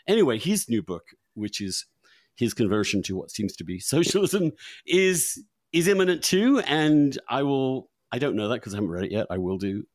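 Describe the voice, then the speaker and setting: A middle-aged man hosting a podcast in a chatty, unscripted way, halting and repeating words as he goes. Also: medium pitch, big pitch swings, darkish tone, no room sound, studio quality. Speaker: podcast host.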